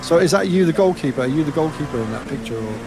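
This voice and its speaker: A man streaming a sim race, chatty and excitable, calling everyone mate. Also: fairly high pitch, rapid-fire, background noise. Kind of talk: casual chat.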